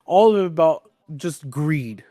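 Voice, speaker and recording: A man's voice: fairly high pitch, expressive, unhurried, warm tone, no room sound. Casual male podcast host, close dry sound. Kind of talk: casual chat.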